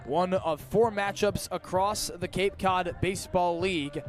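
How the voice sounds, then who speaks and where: A man doing live radio baseball play-by-play commentary as the broadcaster, with punchy, clipped calls that build toward big, drawn-out plays. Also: sits high, soft background music.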